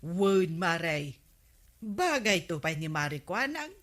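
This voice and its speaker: A woman's voice: fairly low pitch, very animated, takes it slowly, a bit bright, exaggerated voice. A woman with an Ilocano accent playing a gossipy, vain village wife in a radio comedy drama.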